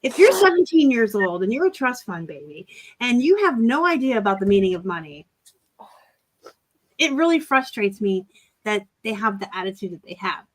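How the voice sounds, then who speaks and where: A woman's voice: medium pitch, big pitch swings, moderate pace. A woman in her forties co-hosting a casual live chat, blunt and joking as she tells a story. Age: 40s. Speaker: co-host of a reseller live chat.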